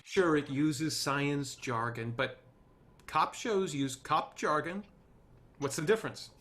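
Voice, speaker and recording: A man's voice: fairly high pitch, slow pace, fairly bright tone. A man playing an idealistic, charming, swaggering TV writer in a screenplay table read. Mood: confident pitching.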